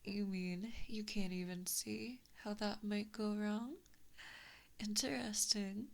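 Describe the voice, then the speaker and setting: Woman as monstrous fox giantess, female, soft whisper, unhurried, sultry, sadistic teasing, sweetly menacing, ASMR roleplay, close binaural mic. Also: mid-range voice, vocal fry. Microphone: close binaural microphone.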